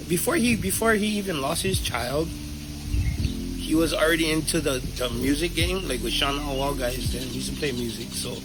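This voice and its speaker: A man's voice: fairly high pitch, rough recording. A man from Hawaii with a Hawaiian Pidgin accent tells personal stories casually, in a candid, slangy, playful way. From Kahuku, Hawaii. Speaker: interviewee.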